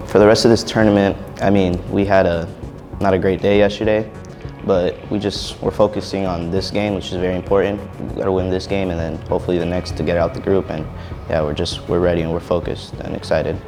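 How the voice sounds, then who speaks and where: A teenage male soccer player answering interview questions in a relaxed way, often ending with "so yeah". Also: low-pitched, brisk, music in the background. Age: teens. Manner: relaxed, ends thoughts with "so yeah".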